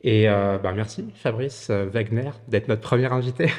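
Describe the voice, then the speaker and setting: A man's voice: fairly low pitch, normal speed, a little mellow, long reverb tail. A man hosting a podcast interview, talking casually with lots of fillers. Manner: filler-heavy, informal. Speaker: podcast host.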